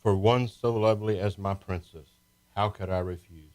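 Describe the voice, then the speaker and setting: Roguish guard played by a man: deep voice, fawning, deferential, radio-serial henchman. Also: steady tone, normal speed, darkish tone, natural voice. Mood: flattering. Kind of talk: amateur radio play acting.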